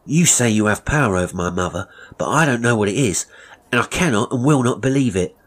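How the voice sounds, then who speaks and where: A man's voice: mid-range voice, expressive, brisk, voice pushed up, natural voice. A man voicing a young apprentice boy, frightened and earnest, in a Victorian melodrama story. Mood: defiant. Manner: timid, pleading, earnest.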